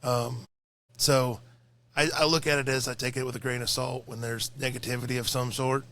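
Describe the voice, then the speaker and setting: A man's voice: mid-range voice, little pitch movement, moderate pace, fairly bright tone. A tired man with a slightly crackly voice, speaking earnestly and reflectively as a guest in a casual podcast conversation. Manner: earnest, reflective, repeats phrases.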